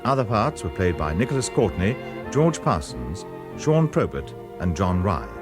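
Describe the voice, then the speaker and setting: A man's voice: sits low, big pitch swings, normal speed. A man announcing radio drama credits in a formal broadcast style. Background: music.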